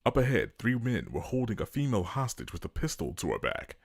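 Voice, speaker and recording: A man's voice: mid-range voice, sing-song, a little fast, slight background noise. A man reading scripted dramatic narration as the storyteller of an audio drama.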